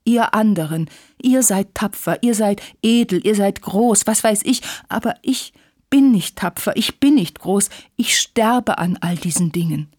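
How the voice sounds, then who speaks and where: A fragile young widow from a wartime story, played by a woman narrator. She is plaintive, insistent and dramatic, with breathy, husky edges and a closely recorded, dry sound. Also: mid-range voice, expressive, measured pace, warm tone, no echo. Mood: plaintive, agitated. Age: young adult. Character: young war widow, self-pitying, passionate, fragile, dramatic.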